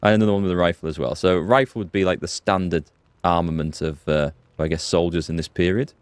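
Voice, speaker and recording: Male streamer, lowish voice, dry close-mic sound, chatty and casual, thinks aloud while greeting his viewers. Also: steady tone, fast talker, clean tone, no room sound. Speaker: gaming streamer. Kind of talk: live let's play commentary.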